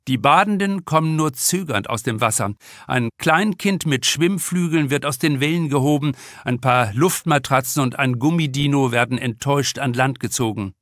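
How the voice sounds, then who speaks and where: A man narrating a comic crime novel with deliberate, expressive storytelling in a studio. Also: mid-range voice, no echo. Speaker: author reading his audiobook.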